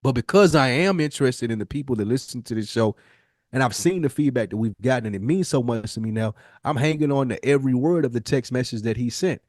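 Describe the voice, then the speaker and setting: A man's voice: mid-range voice, fast talker, dry recording, clean studio sound. An American man hosting a podcast, talking casually and reflectively in an earnest, rambling, emphatic way, with slang and swearing. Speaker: podcast host. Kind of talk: casual, reflective conversation with a co-host.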